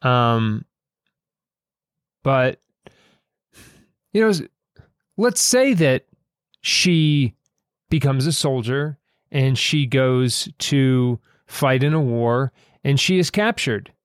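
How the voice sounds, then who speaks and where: Male podcast host and writer, unhurried and thoughtful, dry close sound, halting reflective delivery. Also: mid-range voice, animated, clear, resonant tone, no room sound. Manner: thoughtful, halting, pausing mid-sentence. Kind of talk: reflective conversation about a story.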